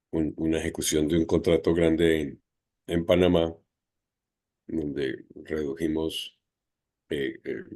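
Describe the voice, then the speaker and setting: A man with a Colombian Spanish accent, a panelist and business owner, telling an anecdote in a halting way with many hesitations. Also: very deep voice, darkish tone.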